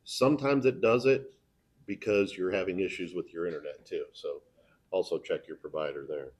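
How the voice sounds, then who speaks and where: An American man with a low, mellow voice gives an informal talk about his broadcasting work. He speaks plainly and somewhat haltingly, in a relaxed tone. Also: breathy edge. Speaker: local sports broadcaster and video producer. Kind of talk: informal talk answering questions.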